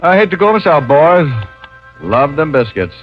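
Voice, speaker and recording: Hardboiled detective, mid pitch, animated swings, wry, muffled vintage radio. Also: male voice, normal speed. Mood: firm. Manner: wisecracking, hardboiled. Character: wry tough private detective narrating his case. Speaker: lead detective and narrator. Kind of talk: 1950s radio drama acting. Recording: muffled old radio recording with music.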